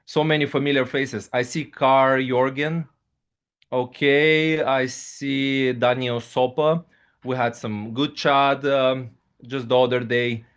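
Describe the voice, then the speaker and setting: A man hosts a live online presentation, his English halting and full of filler sounds. His voice sits at an ordinary middle pitch. The sound is a dull, lo-fi video-call recording. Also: fairly even intonation, a bit bright, smooth. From Bulgaria. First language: Russian. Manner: hesitant, frequent fillers, repeats "okay, guys". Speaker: host, company ambassador.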